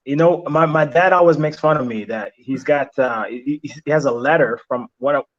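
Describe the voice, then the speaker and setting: A young man talks through his answers in a relaxed interview, pausing and restarting as he gathers his thoughts. Italian is his first language. The audio is a little muffled and lo-fi. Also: on the high side. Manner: reflective, halting, many restarts and fillers. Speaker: interview guest. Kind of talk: casual interview chat. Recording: slightly dull lo-fi sound.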